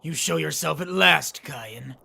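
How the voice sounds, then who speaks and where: A man playing a defiant, commanding young general in an animated action story, with a gravelly voice and some noise on the microphone. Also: a little high, a little slow, exaggerated voice. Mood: determined. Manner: dramatic, stubborn, commanding. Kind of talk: amateur animated action voice acting.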